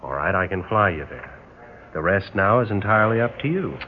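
A man's voice: deep voice, lively intonation, brisk. A man playing a cynical, hard-bargaining charter pilot in 1960s radio drama, talking bluntly and casually with a sardonic edge. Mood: dry, bargaining. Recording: noisy, tinny old radio recording.

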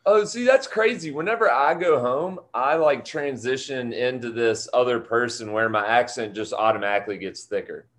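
A laid-back man co-hosts a casual podcast. His voice sits in a middle pitch, and he talks loosely and plainly, with the odd cuss word. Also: normal speed, hardly any pauses. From US Gulf Coast, Alabama. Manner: relaxed, casual cussing. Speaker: podcast co-host.

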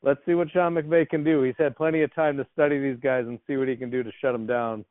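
A man's voice: mid-range voice, fairly even intonation, fast, dry recording. A male podcast co-host who trades casual jokes about football with his partner. His voice comes through tinny and dry, like a phone call. He talks in an easygoing, bantering way. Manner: joking, banter. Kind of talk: casual sports podcast chat.

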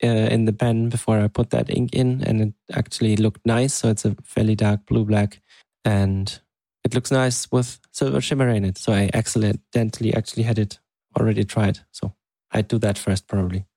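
A man's voice: on the low side, steady tone, moderate pace, gravelly, mellow, no echo, rough recording. A man co-hosting a podcast in casual conversation, with hesitant, thoughtful phrasing. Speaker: podcast co-host. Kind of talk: casual chat.

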